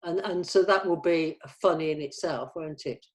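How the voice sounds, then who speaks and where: A middle-aged woman chatting casually as a producer in a planning meeting, agreeable and a little halting. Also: sits low.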